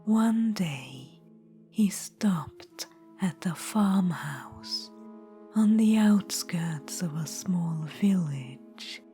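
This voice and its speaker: A woman voicing an ancient, proud witch-queen in an ASMR fantasy roleplay, whispering softly into a close mic and calling the listener darling. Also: on the low side, expressive, drawn-out words, soft background music. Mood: storytelling. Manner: soft unvoiced whisper throughout.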